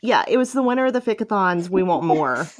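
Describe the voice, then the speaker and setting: A woman's voice: lowish voice, sing-song, brisk. A woman hosting a podcast, chatting casually and enthusiastically about fan fiction, swearing freely. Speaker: podcast host.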